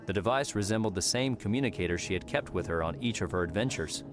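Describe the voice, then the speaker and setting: A man narrating a fiction audiobook in a measured storytelling style. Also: fairly low pitch, lively intonation. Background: soft background music.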